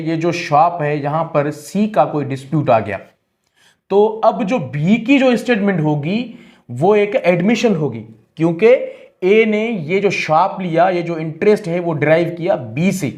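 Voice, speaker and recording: A male lecturer with a slightly high-pitched voice speaks at a slow, deliberate pace. He explains step by step in a teaching style, repeating phrases and switching between two languages as he goes. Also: a little mellow. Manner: code-switches between Hindi and English. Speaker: law lecturer. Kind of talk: explanatory lecture with examples, reading statutes aloud.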